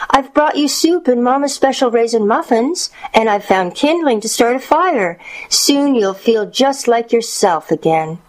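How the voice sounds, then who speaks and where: A woman's voice: on the high side, animated, measured pace, hardly any pauses, voice pushed up, low-quality recording. A woman voicing a sweet, innocent little girl in a storybook, earnest and wide-eyed. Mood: bright, eager. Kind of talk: storybook character voice.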